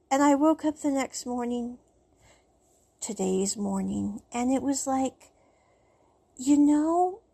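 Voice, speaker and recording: A woman telling a casual personal story to the camera, chatty and animated, with frequent laughs. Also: high-pitched, breathy edge. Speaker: vlogger.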